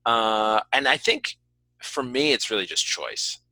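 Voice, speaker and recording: A man's voice: fairly low pitch, big pitch swings, unhurried, bright, forceful tone, dry recording. A man hosting a podcast, talking casually in an earnest, explanatory way and qualifying his points as he goes. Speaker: podcast host. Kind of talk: casual conversational interview talk.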